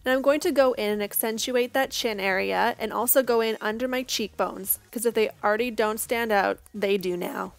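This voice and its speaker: Hoarse young woman narrating a tutorial. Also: fairly high pitch, normal speed, few pauses, faint music behind. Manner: casual, chatty, reassuring. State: hoarse, losing her voice. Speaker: makeup tutorial host. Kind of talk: step-by-step tutorial voice-over.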